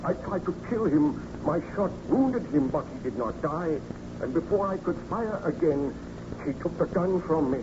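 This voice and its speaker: An actor playing an anguished, guilt-ridden scientist baron in a theatrical, formal old radio melodrama style. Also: male voice, fairly high pitch, lively intonation, moderate pace, close to an everyday voice. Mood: anguished, desperate. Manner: theatrical, fervent, formal diction. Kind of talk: 1930s radio melodrama acting. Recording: muffled, noisy old radio recording.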